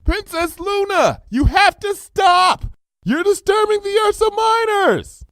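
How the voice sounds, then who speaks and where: A man's voice: very high voice, animated, slow pace, a bit bright, no room sound, voice pushed up, exaggerated voice. A man voicing an anxious, bookish young female unicorn scholar who calls out in a flustered, pleading way. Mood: urgent, flustered.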